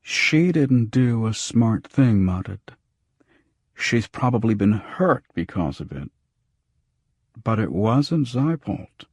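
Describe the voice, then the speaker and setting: Man as a blunt, husky, cynical police lieutenant. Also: on the low side, very animated, takes it slowly, a little mellow, no room sound, studio quality, pitched above the performer's own voice, heavily acted. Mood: flatly explaining. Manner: blunt, dismissive, matter-of-fact.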